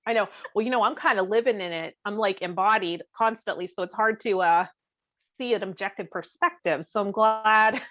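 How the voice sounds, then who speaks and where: A woman's voice: mid-range voice, moderate pace, a bit bright, no room sound. A woman co-hosting a podcast in casual, comedic conversation. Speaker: podcast co-host. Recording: dull, dry audio.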